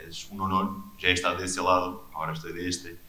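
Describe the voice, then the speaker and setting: A man's voice: deep voice, little pitch movement, fast talker, bright, forceful tone. Hesitant man, echoey hall. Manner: hesitant, many fillers and restarts. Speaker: keynote speaker. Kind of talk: conference talk. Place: lecture hall. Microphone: microphone.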